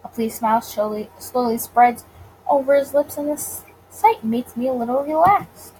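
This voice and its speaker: A woman's voice: high voice, moderate pace, a little mellow, slight background noise, poor audio. A woman reading visual novel narration aloud expressively, sometimes stumbling on words and rereading them. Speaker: video game let's player.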